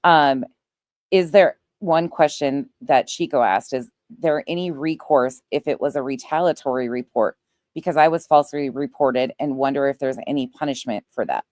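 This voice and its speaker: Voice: mid-range voice, moderate pace, a little mellow, no room sound, clean studio sound. A livestream host chatting casually in a conversational, explanatory way.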